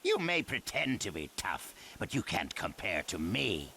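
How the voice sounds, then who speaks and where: An actor playing a stern, scornful old village leader in a dramatic video game dub, with a gravelly, commanding voice. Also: male voice, low-pitched, huge pitch swings, unhurried, a little hiss or hum, exaggerated voice. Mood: fierce, commanding. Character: stern gruff old male village leader, a seasoned ninja. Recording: loud music under the voice.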